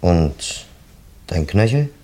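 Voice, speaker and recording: A man's voice: low-pitched, very animated, drawn-out words, mellow, heavily acted. An actor playing a teasing male friend who jokes too much, in a radio crime drama. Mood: friendly. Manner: joking, then apologetic.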